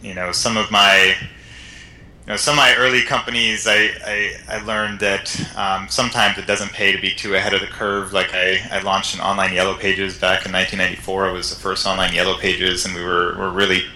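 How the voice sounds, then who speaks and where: A man who grew up in the Netherlands and California, a guest on a podcast, talking in a relaxed, hesitant way with many fillers and restarts. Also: low voice, brisk, a little hiss or hum, low-quality recording. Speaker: guest entrepreneur. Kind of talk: casual interview.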